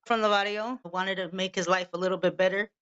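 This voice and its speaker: A woman's voice: mid-range voice, steady tone, quick pace, fairly bright tone, clean tone. A woman in her fifties from Los Angeles, co-hosting a podcast in a laid-back, chatty way and swearing casually. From Los Angeles, California. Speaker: podcast co-host. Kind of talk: casual chat. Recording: dull lo-fi sound.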